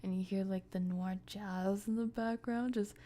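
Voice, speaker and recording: A woman's voice: medium pitch, fairly even intonation, moderate pace, warm tone. A tired woman streamer chatting casually in a playful, rambling, daydreamy way. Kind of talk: casual chat.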